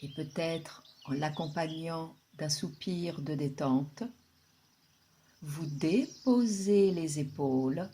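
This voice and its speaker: A woman guiding a deep relaxation meditation in a calm, soothing, unhurried way, with long pauses. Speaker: yoga nidra guide.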